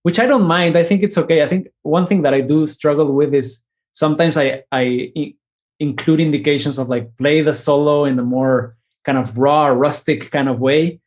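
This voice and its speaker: A man, a composer talking through his ideas in a relaxed interview, often restarting phrases and ending with a questioning "no?". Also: fairly high pitch, moderate pace. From Mexico. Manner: thoughtful, many restarts and tag questions. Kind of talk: conversational interview.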